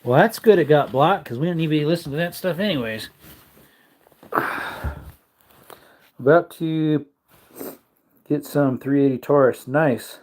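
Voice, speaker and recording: A man's voice: unhurried, pauses often, a little mellow. A man in his thirties hosting a casual livestream from his home man cave, rambling and reading out viewer comments. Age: 30s. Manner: high baby-talk when calling his dog. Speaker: livestream host.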